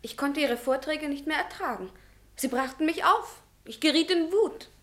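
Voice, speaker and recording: An actress playing a passionate, outspoken young student and romantic idealist in a German radio play. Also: female voice, high-pitched, normal speed, close to an everyday voice. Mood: fervent. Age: young adult. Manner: fervent, outspoken, dramatic.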